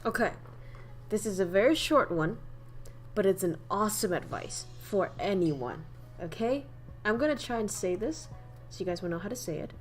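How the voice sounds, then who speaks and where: A woman's voice: mid-range voice, animated, normal speed, faint music behind. A young woman from northern Vietnam with a Vietnamese accent, chatting casually on a livestream while she teaches idioms and laughs often. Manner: chatty, laughs often, reads chat aloud.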